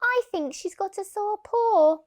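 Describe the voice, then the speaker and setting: A caring little girl from a storybook, voiced by a woman. Her high voice is soothing and sing-song as she talks to her pet. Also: animated, unhurried. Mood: concerned.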